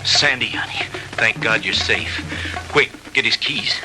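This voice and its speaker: Man acting protective movie hero, lowish voice, reassuring, urgent, 1960s B-movie style, music behind. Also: measured pace, lightly acted, low-quality recording. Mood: relieved, urgent. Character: protective, steadying leading man, urgent escapee.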